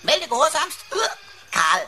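A woman's voice: high-pitched, very animated, takes it slowly, pushed and bright, soft background music, heavily acted. A woman playing a cheeky, wordy talking computer in a sci-fi radio play, pompous and mock-servile with playful wordplay.

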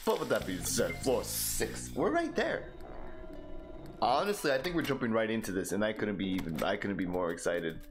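A man in his twenties hosting a let's play, with energetic, goofy gameplay commentary. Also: on the low side. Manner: energetic, goofy, quick reactions. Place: small home room. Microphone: studio mic on a boom stand. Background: game music.

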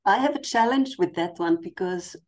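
A woman's voice: on the low side, lively intonation, normal speed. A woman in her sixties whose first language is Finnish, chatting candidly on a video call and making light of herself. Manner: candid, self-deprecating, laughs easily. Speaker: meeting participant. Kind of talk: casual video call chat. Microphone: webcam video call.

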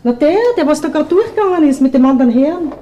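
A woman's voice: high-pitched, fast talker, warm tone, muffled recording, lightly acted. A woman playing a chatty, nosy working-class landlady who gossips in colloquial Viennese dialect in a radio crime play. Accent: Austrian, Viennese.

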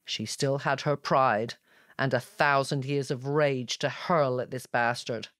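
A man's voice: medium pitch, steady tone, unhurried, fairly bright tone, dry recording. Male narrator, dramatic fantasy audiobook, mid-range voice, very dry studio sound.

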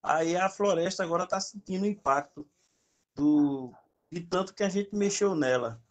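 Calm, reflective man on a video call. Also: high voice, moderate pace. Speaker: group participant. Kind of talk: casual chat on a video call.

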